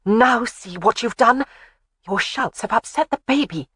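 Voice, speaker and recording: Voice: medium pitch, animated, moderate pace, no room sound, studio quality, lightly acted. The audiobook narrator voicing a protective young woman who scolds firmly. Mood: scolding. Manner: scolding, firm. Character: fierce, protective young woman caring for a baby.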